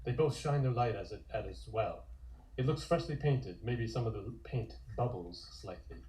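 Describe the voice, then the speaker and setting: A man reading scripted narration for a comic-book table read in a measured, descriptive way. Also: medium pitch, warm tone, poor audio.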